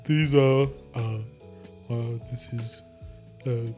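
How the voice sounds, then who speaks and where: A man's voice: mid-range voice, steady tone, takes it slowly, a little mellow, faint music behind. A man talking casually as a player in a tabletop game. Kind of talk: casual chat.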